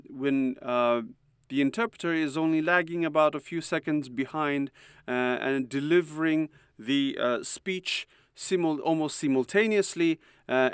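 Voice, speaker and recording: A man's voice: a little high, clean tone, dry recording, clean studio sound. A man whose first language is Uyghur, a university lecturer and translator, giving a conference talk in an explanatory way with many hesitant "uh"s. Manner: explanatory, many filler "uh"s, measured.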